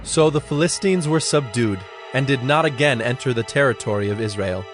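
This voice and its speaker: A man narrating scripture from a script in a measured, solemn storytelling manner. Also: medium pitch, over music.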